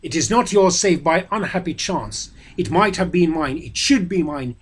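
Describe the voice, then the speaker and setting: A man's voice: a little high, animated, normal speed, slight background noise, voice pushed up, natural voice. A man voicing a proud, desperate grown warrior, declaiming with an impassioned, raised voice. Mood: furious. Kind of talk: dramatic reading of a novel.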